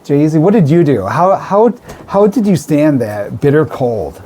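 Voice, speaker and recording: An American man interviewing as a radio host in a chatty, informal way. His voice is mellow with a very lively rise and fall, he sprinkles in "like" and "right", and there is faint noise in the background. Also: fairly high pitch, measured pace. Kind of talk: casual chat interview. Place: radio studio.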